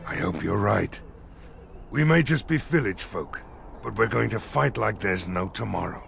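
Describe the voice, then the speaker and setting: An actor playing a gruff, plain-spoken village militia leader in a video game, weary but resolute, with a blunt, folksy manner. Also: male voice, fairly low pitch, expressive, normal speed, fairly bright tone, faint music behind, exaggerated voice. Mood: gritty resolve. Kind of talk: video game dialogue acting.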